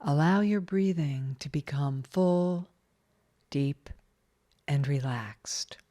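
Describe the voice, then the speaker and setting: A woman leading a scripted guided meditation in a calm, soothing, measured way with long pauses. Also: low voice.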